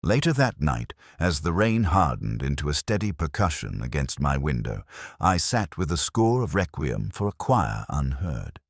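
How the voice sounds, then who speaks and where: A man reading a first-person mystery story as its narrator, in a measured, reflective, unhurried way. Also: extremely low pitch, very animated, creaky, dry recording, studio quality.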